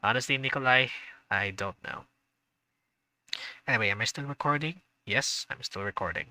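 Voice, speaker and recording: A man's voice: medium pitch, moderate pace, pushed and bright, no echo. A man streaming a visual novel, reading the narration aloud and adding chatty, hesitant asides. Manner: chatty asides, hesitant with fillers when unsure. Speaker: gaming streamer.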